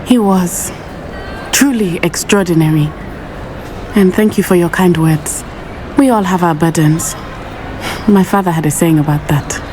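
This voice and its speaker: A young woman playing a solemn, determined teenage student witch in a video game, with measured, earnest delivery. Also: fairly low pitch, darkish tone, a little hiss or hum, lightly acted. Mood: grateful, reflective.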